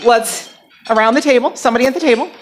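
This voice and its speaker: A woman with a slightly high voice runs a club lunch meeting from a handheld mic. She's chatty and self-deprecating. Her announcements are casual and friendly. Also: quick pace. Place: banquet room at a lunch meeting.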